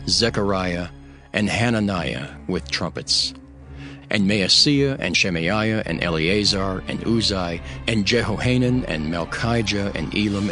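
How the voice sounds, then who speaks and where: Low-pitched man reading scripture over music. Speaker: audiobook narrator. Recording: music behind the voice. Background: background music.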